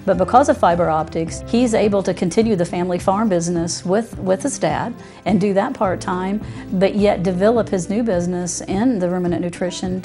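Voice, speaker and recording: A woman's voice: fairly low pitch, dark tone. A middle-aged woman giving a conversational promotional testimonial as a satisfied customer. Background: music under the voice.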